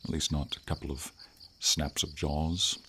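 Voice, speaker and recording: A man voicing a friendly, noble silver dragon in an ASMR fantasy roleplay, speaking in an unhurried, thoughtful and slightly formal way with pauses. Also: very deep voice, flat delivery, pushed and bright, a little hiss or hum, heavily acted. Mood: calm, matter-of-fact. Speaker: voice actor. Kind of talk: ASMR fantasy roleplay, slow intimate storytelling. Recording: ambient nature sounds, crickets, light rain.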